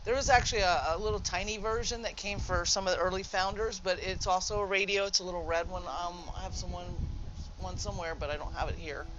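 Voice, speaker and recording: A man's voice: sits high, fairly even intonation, quick pace, highs cut off, background noise. A man giving a casual, unscripted game tutorial in an informal, explanatory way, correcting himself as he goes. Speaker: gamer presenting a demonstration. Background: video game music.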